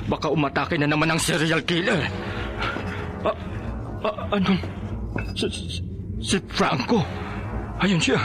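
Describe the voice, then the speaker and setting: A man acts out an older father from a radio horror drama, suspicious and urgent. His voice sits a little high and trips into stammers when startled. Prominent music plays behind him. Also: natural voice. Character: suspicious, anxious older father hunting the truth.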